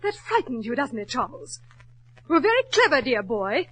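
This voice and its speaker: An actress playing an imperious, mocking wealthy wife, in 1940s radio drama style. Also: female voice, high voice, very animated, normal speed, a bit bright, breathy edge, heavily acted. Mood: taunting. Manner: mocking, domineering.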